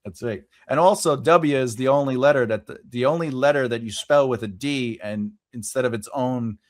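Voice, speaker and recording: A man's voice: mid-range voice, normal speed, dry recording, clean studio sound. A man with a Long Island accent hosting a podcast, chatting playfully and animatedly with his guest. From Long Island, New York. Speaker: podcast host. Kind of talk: casual conversation.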